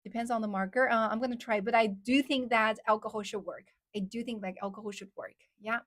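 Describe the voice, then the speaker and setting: A woman's voice: on the high side, steady tone, a little fast. A young woman from China with a Chinese accent, chatting casually on a livestream and answering viewers' questions, with lots of "like" fillers. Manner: chatty, many "like" fillers, reads out comments. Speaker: live streamer.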